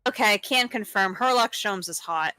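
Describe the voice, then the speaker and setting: A woman co-hosting a game stream, casually relaying chat messages in a quick, playful way. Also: medium pitch, pushed and bright. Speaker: stream co-host.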